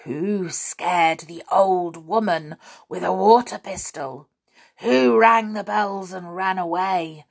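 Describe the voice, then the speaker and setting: A woman voicing a stern, solemn invisible male figure from a storybook, firing accusing questions in a booming voice. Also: slow, gravelly, heavily acted.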